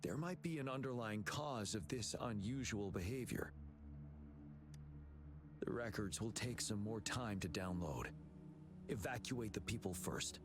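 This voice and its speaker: A man playing a calm, commanding young general in a video game, speaking in a measured, formal, authoritative way. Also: lowish voice, noisy background, close to an everyday voice. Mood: grave, composed. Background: game music.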